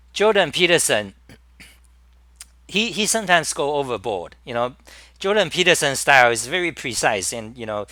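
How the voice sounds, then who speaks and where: A man with a Chinese accent hosting a live-stream talk, speaking in halting bursts with many restarts and repeated words. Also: fairly high pitch, bright, forceful tone, no echo. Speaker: host.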